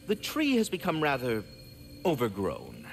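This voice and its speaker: Fussy, theatrical talking squirrel. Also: male voice, mid-range voice, huge pitch swings, a little slow, creaky, faint music behind, exaggerated voice. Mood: politely explaining. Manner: verbose, formal, fussily polite, sardonic. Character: magical talking squirrel, prim, courteous male caretaker. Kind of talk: video game character acting.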